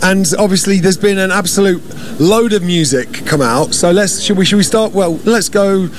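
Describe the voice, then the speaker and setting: A man with a notably high voice conducts an interview. He moves things along briskly and teases his guest. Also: a little hiss or hum. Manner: teasing, brisk. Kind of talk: playful interview questions.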